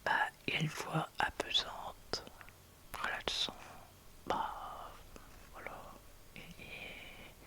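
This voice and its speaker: An ASMR creator whispering casual chat in a hesitant, halting way, often searching for words. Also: mid-range voice, bright, forceful tone.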